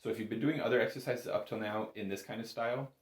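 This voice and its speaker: A man's voice: medium pitch, fairly even intonation, fast. A man giving an informal music lesson as an instructor, often correcting himself and stressing key words. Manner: hedging, self-correcting, emphatic on key words. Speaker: bagpipe instructor. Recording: roomy, echoing room sound.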